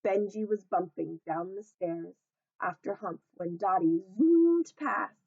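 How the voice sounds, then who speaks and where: A woman reads a children's story aloud with lively rises and falls. Her pace is slow and measured, and her voice is slightly husky, in the expressive style of a teacher. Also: medium pitch, no room sound, phone-quality sound.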